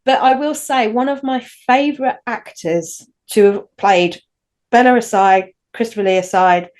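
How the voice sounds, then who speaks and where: A woman's voice: on the high side, normal speed, darkish tone. Rambling Northern English woman co-host. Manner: rambling, restarts often. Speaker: podcast co-host. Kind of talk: casual chat.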